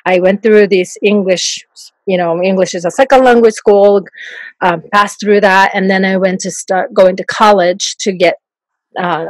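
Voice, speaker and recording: Japanese accent lady, middle aged, soft voice, laughs a lot, dry close sound. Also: mid-range voice, no echo, rough recording. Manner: soft-spoken, laughs often while talking. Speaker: guest, life coach. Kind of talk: podcast interview.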